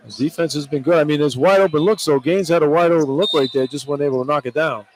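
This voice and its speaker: A man's voice: a little high, fast, rough recording. A man working as a radio color analyst, talking through a basketball game in a conversational way. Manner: repeats and restarts phrases to explain tactics. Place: indoor basketball arena.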